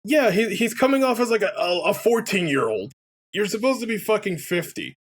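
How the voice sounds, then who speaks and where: A man's voice: high voice, sing-song, a little fast, husky. A man chatting casually and giving opinions as a game commentator, rambling and swearing as he goes. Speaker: let's player commentator.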